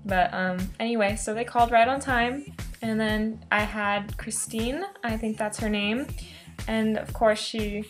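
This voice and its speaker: A woman's voice: a little high, steady tone, measured pace. Chatty young woman, rambling casually over music. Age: around 20. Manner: informal, rambling, self-interrupting. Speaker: student vlogger. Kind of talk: casual chat to camera recounting an experience.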